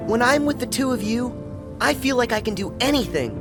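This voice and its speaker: A man's voice: very high-pitched, lively intonation, a little fast. A man voicing a brave, friendly teenage boy hero in a video game, speaking in an earnest, heartfelt way. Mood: grateful, wistful. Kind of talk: animated game voice acting. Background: game music.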